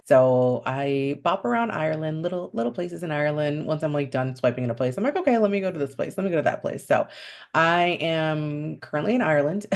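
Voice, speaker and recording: A woman's voice: deep voice, darkish tone. A young woman from upstate New York, raised speaking Spanish first, chatting candidly and upbeat to camera in a vlog. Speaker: vlogger. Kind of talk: casual chat to camera.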